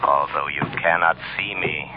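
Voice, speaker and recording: An actor playing a mysterious invisible male avenger, speaking in a measured, solemn, hypnotic way in old-time radio drama style. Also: very deep voice, steady tone, over music. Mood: calm, commanding. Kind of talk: 1930s radio drama acting. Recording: dull old radio recording.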